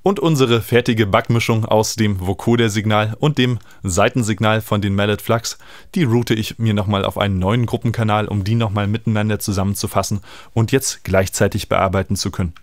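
A man, explaining music software informally as he demonstrates it in a tutorial. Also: fairly low pitch, fairly even intonation, fairly quick, dry recording. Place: small indoor home studio. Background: electronic music he is working on.

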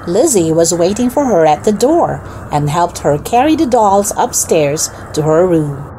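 A woman hosts a read-aloud for young children. She narrates slowly and expressively, with her pitch moving freely. Faint music plays underneath. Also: poor audio. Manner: slow, expressive, deliberate delivery for young listeners. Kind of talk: scripted storybook narration for children.

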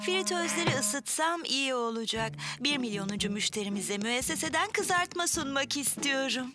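Cartoon café owner: upbeat woman, high voice, loud music underneath. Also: expressive, normal speed. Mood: pleased. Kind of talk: dubbed children's cartoon acting.

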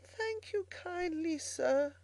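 A humble washerwoman from a storybook, as a woman plays her, really a toad in disguise. The pitch is lifted and the manner is meek, polite and pleading. The recording is close and dry. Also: normal speed, a little mellow, dry recording, natural voice. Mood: feeble, grateful. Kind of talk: storybook character voicing.

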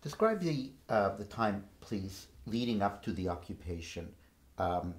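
A man interviewing off camera, prompting with soft, measured questions. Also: lowish voice, sing-song.

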